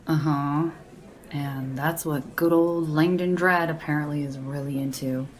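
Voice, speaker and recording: An actress playing a sardonic, deadpan young woman in casual audio drama banter, with a gravelly creak and a dry, teasing delivery. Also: deep voice, unhurried. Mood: dry, matter-of-fact. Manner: dry, teasing, slangy, unimpressed. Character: deadpan, sardonic young woman who loves horror paperbacks.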